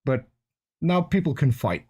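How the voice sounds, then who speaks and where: Animated man making pointed remarks. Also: on the high side, a little slow, breathy edge. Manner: animated, pointed, rhetorical questions. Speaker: panel guest. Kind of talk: casual panel chat.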